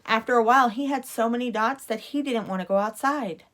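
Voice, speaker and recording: A woman's voice: a little high, fairly quick, a bit bright. A woman reading a children's storybook aloud expressively, like a teacher at story time.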